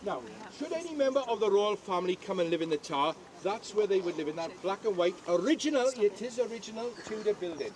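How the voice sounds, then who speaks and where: A man's voice: high voice, animated, fast talker, few pauses. An older Welsh man giving a jokey, chatty guided tour outdoors at a historic castle, often asking the crowd questions.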